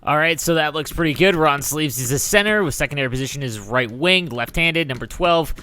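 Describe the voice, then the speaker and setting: A man's voice: fairly high pitch, lively intonation, fairly quick, pushed and bright. A man hosting a gaming video, giving casual, enthusiastic commentary in an informal way. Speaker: gaming channel host.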